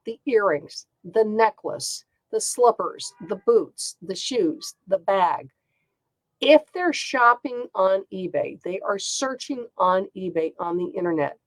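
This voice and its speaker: A woman's voice: medium pitch, dry recording, poor audio. A woman with a congested voice hosting a casual chat, talking in a chatty way with frequent ums. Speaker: host.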